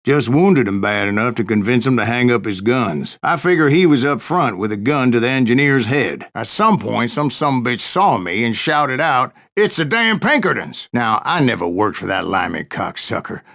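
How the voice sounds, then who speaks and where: A stubborn old gunslinger from a Western video game. His voice is full of gravel and fry, with a folksy American West drawl. He tells his tall tales like a cantankerous, foul-mouthed saloon storyteller. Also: medium pitch, very animated, normal speed, hardly any pauses, a bit bright. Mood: grim storytelling. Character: gruff, stubborn old Wild West bounty hunter spinning tall tales. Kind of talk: storytelling narration, rambling saloon yarn.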